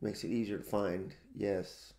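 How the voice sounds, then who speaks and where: A man co-hosting a podcast, answering in a measured, overly analytical way with dry humor. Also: lowish voice, steady tone, gravelly, warm tone. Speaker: podcast co-host. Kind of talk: casual chat.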